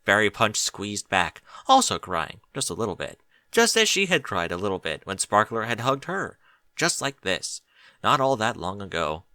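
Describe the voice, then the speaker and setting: A man reading a story aloud as an expressive audiobook narrator. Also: lowish voice, moderate pace, no room sound, studio quality.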